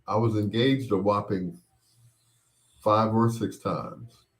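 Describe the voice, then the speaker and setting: Middle aged guy w/ a lowish voice, really slow talker, rambles thru a casual chat show with lots of pauses. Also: fairly even intonation. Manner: unhurried, rambling, with pauses and repeats. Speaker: host of a bedtime stories show. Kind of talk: casual chat, reminiscing and replying to listener comments.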